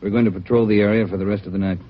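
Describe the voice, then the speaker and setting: An actor playing a brisk police chief who gives orders to his detectives in a 1950s radio drama. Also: on the low side, steady tone, natural voice. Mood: commanding. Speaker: police captain in charge. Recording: muffled vintage radio broadcast.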